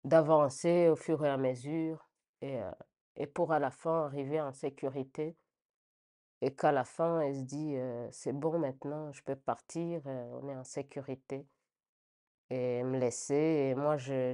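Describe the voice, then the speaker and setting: Low-voiced, mellow woman giving testimony. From Rwanda. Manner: hesitant, halting, reflective phrasing with repeated words. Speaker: interviewee giving a personal testimony. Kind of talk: spontaneous personal testimony.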